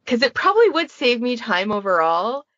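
A woman in a casual podcast chat, talking in an animated, self-deprecating and sweary way. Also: on the high side, moderate pace, no echo, dull audio. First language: English. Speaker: podfic creator and podcast panelist.

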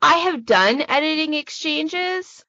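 A woman's voice: high-pitched, lively intonation, slow pace, sharp tone, dry recording. Woman, casual podcast panelist. Candid, self-mocking, sweary delivery. Very dry, slightly dull recording. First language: English. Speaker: podfic creator and podcast panelist.

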